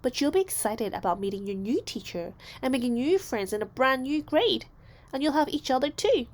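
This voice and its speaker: Woman as a patient schoolteacher with animated intonation. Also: high voice, a little fast, pitched above the performer's own voice. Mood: reassuring.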